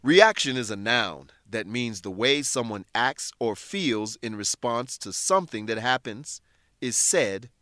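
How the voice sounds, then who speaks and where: A male narrator presents a scripted story recap and English lesson. His mid-pitched voice is very expressive in intonation, and he explains things to the listener in a dry studio sound. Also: no room sound. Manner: clear, explanatory, addressing listeners.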